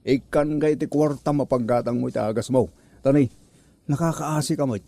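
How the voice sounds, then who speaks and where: A man's voice: mid-range voice, a little fast, creaky, dark tone, dry recording, close to an everyday voice. A man with an Ilocano accent playing a kind, gullible younger nephew who asks concerned questions in a comedy drama. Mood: sympathetic. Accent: Ilocano, northern Philippines.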